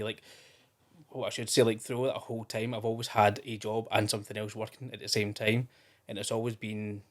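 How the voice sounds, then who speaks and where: A Scottish man in his thirties, a podcast guest in casual, rambling conversation with many restarts. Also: lowish voice. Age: 30s. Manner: chatty and rambling, with frequent restarts and fillers. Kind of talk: casual interview chat.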